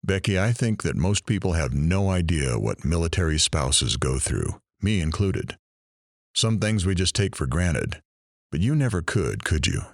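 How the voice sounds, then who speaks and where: A man's voice: sits low, sing-song, a little slow, gravelly, no room sound, studio quality, exaggerated voice. A man playing a sympathetic show host in an audio drama, attentively drawing out someone's story with questions. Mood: curious, sympathetic. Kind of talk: audio drama acting, interview.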